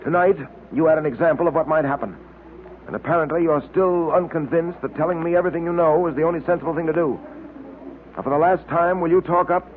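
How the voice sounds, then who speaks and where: An actor playing a stern, insistent detective with a firm, probing manner in old-time radio drama. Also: male voice, on the high side, quick pace, close to an everyday voice. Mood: exasperated, warning. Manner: firm, interrogating. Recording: tinny, hissy old-time radio recording.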